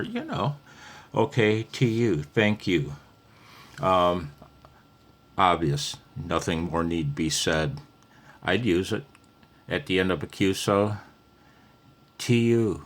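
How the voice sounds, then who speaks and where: A man giving a casual, unscripted hobby tutorial, rambling conversationally as he thinks aloud. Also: fairly low pitch.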